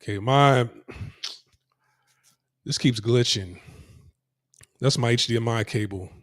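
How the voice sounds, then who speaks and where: A man's voice: mid-range voice, slow pace, no room sound, clean studio sound. A man talking casually to his viewers while moving through city streets, rambling with many restarts and repeated phrases. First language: English. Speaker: vlogger. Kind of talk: casual walking vlog, talking to camera.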